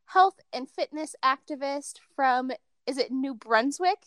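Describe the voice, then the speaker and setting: A young woman hosts a casual podcast chat in a high, girlish voice. She giggles often and fills her talk with "like." The sound is dry and close, with no room around it. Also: unhurried, fairly bright tone, no room sound. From Ohio. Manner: chatty, giggly, says "like" a lot. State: achy and under the weather. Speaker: podcast host.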